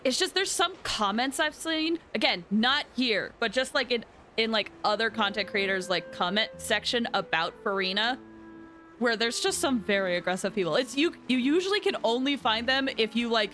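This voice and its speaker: A woman's voice: fairly high pitch, lively intonation, normal speed, sharp tone, a little hiss or hum. A woman chatting casually and animatedly on a game livestream, rambling as she talks to viewers.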